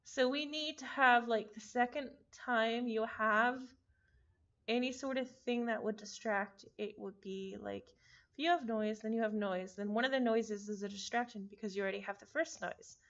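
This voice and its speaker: A woman streamer reading a visual novel aloud, mixing expressive narration with casual asides. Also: a little high, normal speed, lo-fi sound.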